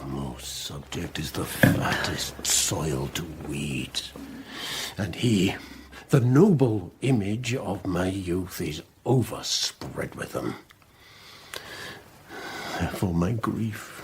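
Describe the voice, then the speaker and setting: A man's voice: mid-range voice, darkish tone, slight background noise. An actor playing an ailing, grieving old king in a Shakespearean radio drama, weary, brooding and declamatory. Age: older. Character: ailing, grieving old king fretting over his heir.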